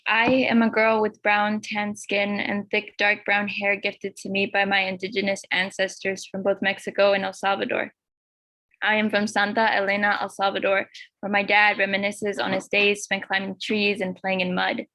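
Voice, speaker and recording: Teen girl reciting poetry, bright voice. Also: medium pitch, completely flat delivery, dry recording, rough recording. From Los Angeles. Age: teens. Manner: earnest, measured recitation. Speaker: youth poet. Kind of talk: spoken word poetry reading.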